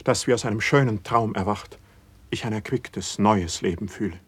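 Husky, fervent classical poet. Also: male voice, on the low side, no room sound. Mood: agitated, pleading. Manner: solemn, impassioned, measured declamation. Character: humble, fervent young male court poet, overwhelmed by honour. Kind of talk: classical verse drama, stage-trained declamation.

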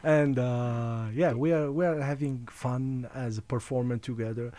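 A man's voice: mid-range voice, steady tone, a crowd in the background. A man from Algeria who grew up speaking Arabic and French, a radio guest talking casually with many fillers and restarts. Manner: halting, many fillers and restarts, laughs often.

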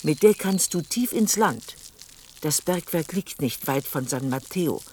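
A woman's voice: low voice, animated, unhurried, a little mellow, a little hiss or hum. An older woman acting in a radio play as a shrewd old woman who coaxes and commands a younger man. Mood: coaxing, urgent. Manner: coaxing, commanding.

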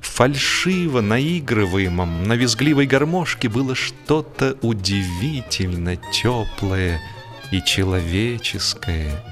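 A man narrating humorous literary fiction as a scripted audiobook, in an expressive, mock-earnest storytelling manner. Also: fairly low pitch, takes it slowly, soft background music.

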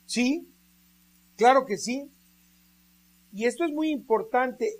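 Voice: high voice, takes it slowly. A radio preacher delivering a sermon, speaking emphatically and building the message through rhetorical questions.

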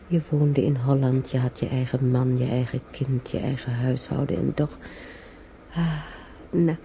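An older woman acting in a radio drama as a frail, bedridden, wistful but plucky old woman, musing haltingly to herself in a husky, breathy voice. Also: very deep voice, mellow, a little hiss or hum.

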